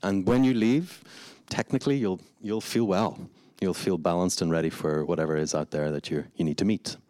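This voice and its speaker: A man with a Belfast accent and a very low, deep voice talks with passion and sincerity. He is a meditation teacher on a live panel, and he often stops to restart his phrases. The recording is dry and close, with almost no room sound. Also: fairly even intonation, normal speed, darkish tone, no room sound. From Northern Ireland. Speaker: meditation teacher and studio founder. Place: indoor event space before a live audience.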